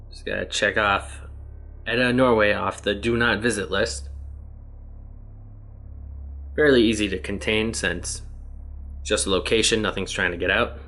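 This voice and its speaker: A man reads through written reports with a steady, matter-of-fact delivery. His voice sits somewhat low, and he drops in short comments of his own between passages. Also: measured pace, pauses often. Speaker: reader of horror fiction documents. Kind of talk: document reading with brief asides.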